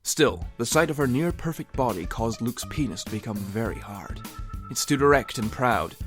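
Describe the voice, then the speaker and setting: A man's voice: medium pitch, expressive, normal speed, fairly bright tone. A man narrating comedic fan fiction in a mock-serious, theatrical way with wry asides. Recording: music behind the voice. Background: music.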